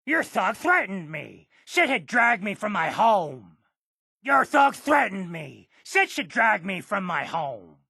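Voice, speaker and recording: A man's voice: sits high, sing-song, slow pace, creaky, pushed and bright, slightly rough, no echo, studio quality, heavily acted. An actor playing a cantankerous, defiant old man in a video game, grumbling and curt. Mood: indignant. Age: older. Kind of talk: video game character acting.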